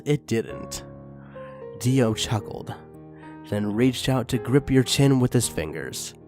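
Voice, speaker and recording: A man's voice: medium pitch, a little mellow. Man reading a story aloud. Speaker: narrator reading fan fiction.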